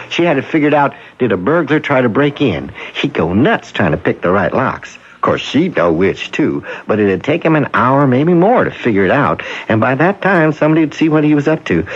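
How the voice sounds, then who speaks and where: A man acting an obliging small-town locksmith in a radio drama, husky and folksy in his chatty talk. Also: medium pitch. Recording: dull, muffled old radio recording.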